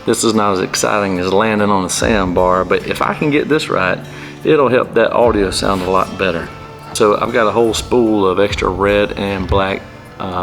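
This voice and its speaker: A man's voice: lowish voice, a bit bright. A man hosting a casual how-to vlog, talking in a plain-spoken, informal and self-effacing way. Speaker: amateur pilot and video host.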